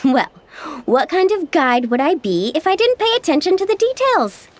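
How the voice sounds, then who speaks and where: A woman voicing an upbeat, caring young tour guide in a video game, chatty and animated. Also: extremely high pitch, moderate pace. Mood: cheerful. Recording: background music.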